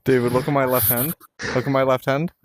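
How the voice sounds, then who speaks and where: A man's voice: medium pitch, steady tone, brisk, no echo. A man in casual gaming banter with friends, sweary and full of mock threats.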